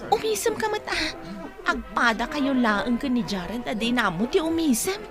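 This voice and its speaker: A woman's voice: sits high, big pitch swings, normal speed, over music. An actress playing a chatty, pushy young village woman with an Ilocano accent in a Filipino radio comedy-drama. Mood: nagging.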